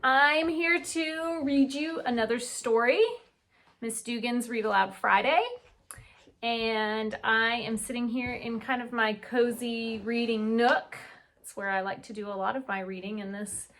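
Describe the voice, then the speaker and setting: A woman's voice: on the high side, steady tone, a little slow, fairly bright tone. A woman teacher reading a children's storybook aloud with chatty asides, putting questions to young listeners and answering them. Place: indoor reading nook at home.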